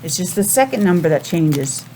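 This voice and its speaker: An American woman teaching young children a simple lesson in a sing-song, questioning way with pauses. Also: fairly low pitch, sing-song, warm tone, slight background noise, low-quality recording. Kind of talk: children's calendar and weather lesson.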